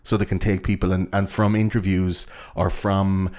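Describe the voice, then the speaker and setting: A man's voice: low voice, flat delivery, normal speed, a little mellow. A young man with an Irish accent, chatting casually and explaining things in an enthusiastic, rambling, sweary way.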